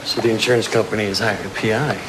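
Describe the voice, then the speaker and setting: An actor playing an inquisitive, plain-spoken police detective in an audio drama, casual and questioning. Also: male voice, on the low side, fairly even intonation, fast talker, background noise, natural voice. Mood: wry.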